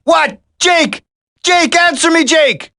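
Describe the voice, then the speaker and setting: A man's voice: extremely high pitch, takes it slowly, creaky, bright, forceful tone, no echo, studio quality, heavily acted. A man voicing an earnest special forces soldier in a video game, dramatic and urgent. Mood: alarmed. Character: earnest male special forces soldier, determined, worried for comrades.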